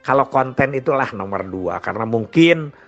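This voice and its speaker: A man's voice: mid-range voice, lively intonation, moderate pace, a bit bright. An older man with a West Javanese Sundanese-region Indonesian accent, talking informally and ramblingly like a grandfather telling of past experiences. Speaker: storyteller. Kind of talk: informal reminiscing monologue. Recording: dull lo-fi sound with music behind. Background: music.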